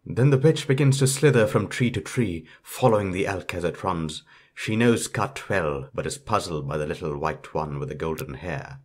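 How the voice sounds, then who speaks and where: Male storyteller, low pitch, scripted fairytale narration. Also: lively intonation, normal speed.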